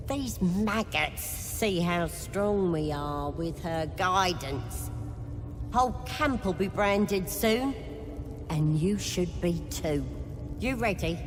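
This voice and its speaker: A zealous goblin priestess for a video game, speaks slowly in long reverb over music. She is coarse and bossy, coaxing with folksy slang like a cult leader sure of her power. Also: fairly low pitch. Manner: coarse, colloquial, wheedling and bossy. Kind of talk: video game character acting.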